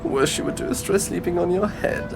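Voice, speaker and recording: A man's voice: high voice, brisk, creaky. An actor playing a cocky, teasing male detective in an audio roleplay drama, with sardonic, theatrical banter. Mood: cocky, playful. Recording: music behind the voice.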